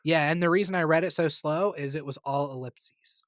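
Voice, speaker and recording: A man co-hosting a podcast, chatting casually with a dry, deadpan joking manner. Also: a little high, moderate pace, dry recording. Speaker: podcast co-host. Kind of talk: casual chat.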